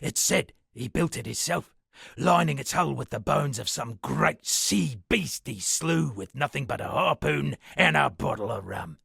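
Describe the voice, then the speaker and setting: An actor playing a self-satisfied town poet and storyteller in a video game, speaking in a florid, dramatic manner with a gravelly, husky voice. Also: male voice, medium pitch, expressive, measured pace, pushed and bright, no room sound, studio quality, heavily acted. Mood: ominous storytelling. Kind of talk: theatrical game dialogue with declaimed verse.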